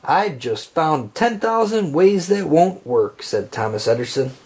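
A man reads a picture book aloud for children in a fairly high voice. His delivery is slow and deliberate, and his intonation swings up and down expressively through each short phrase. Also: sing-song, a little mellow.

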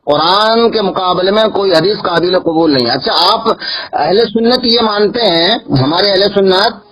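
A male Islamic cleric debating religion over a recorded phone call, insistent and argumentative, pressing his points by repeating them, with a husky voice. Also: sits high, moderate pace, a bit bright.

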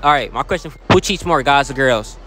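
Animated young man hosting street interviews. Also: mid-range voice, brisk. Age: young adult. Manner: playful, animated, slangy. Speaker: host interviewing people in public. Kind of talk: casual street interview banter. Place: busy shopping mall and outdoor park. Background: music.

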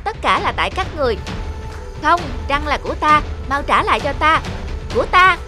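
A woman voicing an excitable young child monkey in a children's cartoon, with a childlike, exclaiming delivery. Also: high voice, a little fast, music in the background. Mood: indignant, squabbling. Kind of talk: animated children's fairy tale acting.

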